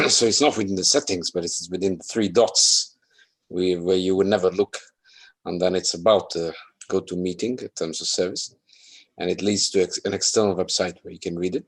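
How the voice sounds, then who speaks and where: A man's voice: low voice, low-quality recording. A man taking part in a workshop, speaking informally and hesitantly, sometimes reading passages aloud. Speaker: workshop participant.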